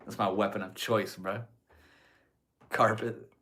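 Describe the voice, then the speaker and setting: A man's voice: fairly low pitch, fairly even intonation, takes it slowly, fairly bright tone, a little hiss or hum. A young man with a stuffy nose, casually chatting with his livestream viewers and swearing freely. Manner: laid-back, swears often, chats with viewers. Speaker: livestreamer reading chat.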